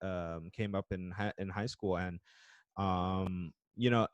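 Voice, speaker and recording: Low-voiced man, dry close sound. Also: fairly even intonation, slow pace, smooth, dry recording, highs cut off. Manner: casual, loose fillers. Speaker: podcast host. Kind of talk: casual interview chat.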